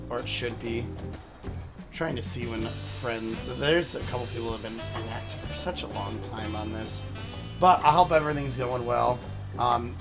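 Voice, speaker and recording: Casual streamer, male, slightly raspy, rambling and relaxed, music underneath. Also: mid-range voice. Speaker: VTuber streamer. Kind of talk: casual livestream chat. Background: game music.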